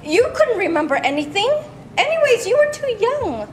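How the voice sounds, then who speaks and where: Woman acting high-pitched, scolding immigrant mother. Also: sing-song, moderate pace. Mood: exasperated. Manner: scolding, emphatic, exasperated. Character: scolding, bitter Cambodian refugee mother of grown son. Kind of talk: staged play reading. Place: large glass-walled hall, on stage. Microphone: handheld microphone through PA speaker. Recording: noisy live stage PA recording.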